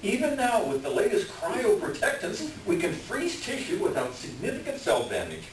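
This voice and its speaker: Man playing a glib, high-pitched swindler in echoey room. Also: wildly swooping pitch. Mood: glib, unruffled. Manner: hesitant, halting, smooth-talking. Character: evasive, glib male con man posing as a doctor. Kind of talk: staged readers' theater acting. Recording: echoey room, distant mic, faint noise.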